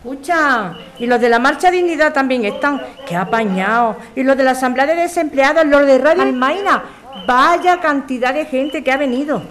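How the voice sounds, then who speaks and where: A woman playing a practical, brisk activist organizer with a Spanish accent in an audio drama. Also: high-pitched, lively intonation, fairly bright tone. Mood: enthusiastic. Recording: clearly noisy ambience with clicks.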